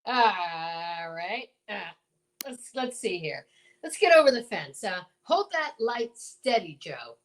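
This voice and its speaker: A woman's voice: mid-range voice, very animated, takes it slowly. A woman playing a folksy older male small-town marshal, hemming and exclaiming in old-time radio drama style. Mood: straining, fussing.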